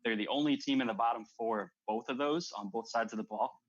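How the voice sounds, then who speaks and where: A man's voice: mid-range voice, fast talker. Man in sports talk, slightly muffled audio. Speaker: sports show co-host. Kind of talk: casual sports analysis.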